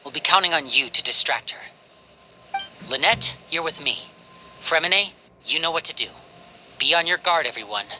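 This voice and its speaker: A man playing a composed, loyal young team leader in a video game, measured, articulate and reassuring. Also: fairly high pitch, animated, bright, forceful tone, slight background noise, low-quality recording. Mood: decisive.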